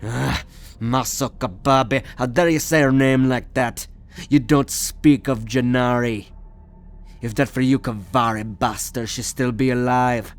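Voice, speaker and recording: Man voicing a bitter, gruff alien hoarder. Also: medium pitch, fairly even intonation, measured pace, natural voice. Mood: indignant, bitter. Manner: gruff, indignant, haggling. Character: shady, bitter green male alien collector, grieving widower. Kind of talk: ASMR roleplay audio drama.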